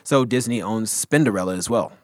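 A man's voice: lowish voice, brisk, darkish tone, dry recording, clean studio sound. A man co-hosting a casual podcast, rambling with lots of fillers and frequent laughter. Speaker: podcast co-host. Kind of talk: casual chat about hip hop.

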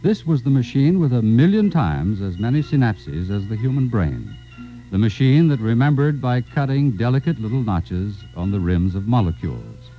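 Casting: male actor as theatrical storyteller, wry, lively intonation, muffled 1950s radio sound. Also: medium pitch, moderate pace, lightly acted. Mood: dramatic, measured. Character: dramatic, wry male science fiction narrator. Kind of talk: scripted 1950s radio drama narration. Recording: dull vintage radio broadcast recording. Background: music.

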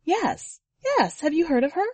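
A firm, reserved woman diver in a storybook reading, her voice pitched a little above the narration and climbing when she is pressed. A woman reader brings her to life, close and dry on the mic. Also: wildly swooping pitch, measured pace, a little mellow, no echo, exaggerated voice. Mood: insistent.

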